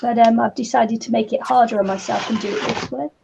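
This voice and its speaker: A woman with a New Zealand accent and a scratchy voice today, hosting a relaxed craft chat. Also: on the high side, vocal fry, lo-fi sound. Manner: slow, relaxed, laughs between phrases. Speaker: craft channel host.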